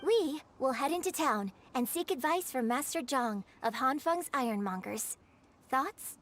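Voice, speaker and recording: High-voiced, refined young opera lady. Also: expressive, a bit bright, slight background noise. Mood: poised, purposeful. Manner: formal, polite, measured wording. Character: refined, courteous young female opera performer, poised and earnest. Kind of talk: video game voice acting.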